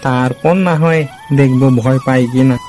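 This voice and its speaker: A man's voice: medium pitch, steady tone, moderate pace, a little mellow, a little hiss or hum, pitched below the performer's own voice, natural voice. A man voicing a cheeky, fearless schoolboy in a cartoon, talking in a defiant, dismissive way. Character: cheeky fearless schoolboy who is bad at maths. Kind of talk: cartoon character dialogue.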